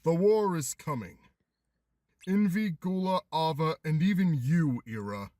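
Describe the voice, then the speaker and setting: A ruthless, power-hungry master of warriors, acted by a man for a game fandub. He speaks slowly and solemnly in a fairly high male voice, with commanding, wide-ranging intonation. The sound is close and dry. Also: lively intonation, mellow, no echo. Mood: cold, accusing. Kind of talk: anime-style game fandub acting.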